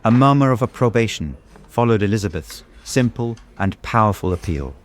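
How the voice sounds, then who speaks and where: A man acts out a tormented, guilt-ridden gentleman narrating a classic novel. His voice is low, and his delivery is grave, formal and literary. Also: lively intonation, normal speed, slight background noise, close to an everyday voice. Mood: anguished. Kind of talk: scripted audiobook narration.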